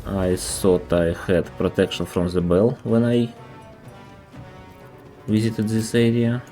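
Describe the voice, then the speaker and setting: A man's voice: on the low side, monotone, slow, dark tone, soft background music. A man with a Russian accent, a native Russian speaker, streaming a game and chatting thoughtfully, often stopping to restart his sentences. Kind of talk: casual gameplay commentary.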